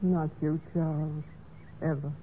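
An actress playing a trusting, lonely young heiress in a vintage radio mystery, speaking with earnest, genteel gentleness. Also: female voice, low-pitched, fairly even intonation, measured pace, phone-quality sound. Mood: earnest, tender. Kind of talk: 1940s-50s radio mystery acting. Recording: noisy band-limited old radio recording.

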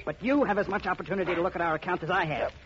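A man's voice: high voice, very fast, natural voice. A man playing a pushy, evasive young banker's son in a 1950s radio drama. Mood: accusing. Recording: muffled, noisy old radio recording.

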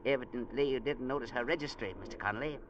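A man's voice: mid-range voice, fast, lo-fi sound, close to an everyday voice. An actor playing a cocky, hot-tempered, stubborn small sea captain in a 1940s radio drama, barking his orders. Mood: stubborn, scheming. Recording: noisy old radio drama recording.

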